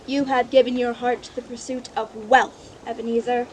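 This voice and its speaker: A woman's voice: on the high side, little pitch movement, normal speed, darkish tone, a little hiss or hum, lightly acted, rough recording. A woman playing a sorrowful but resolute young woman in a radio play, speaking earnestly and formally. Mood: troubled. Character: sorrowful, resolute young woman leaving her greedy fiance. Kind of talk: live-audience radio play acting.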